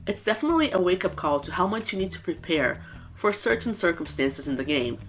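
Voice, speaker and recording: A woman's voice: fairly low pitch, very animated, fairly quick, faint music behind. A woman with a nasal, congested voice from a cold, giving an earnest, scripted game ranking. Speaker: gaming YouTuber.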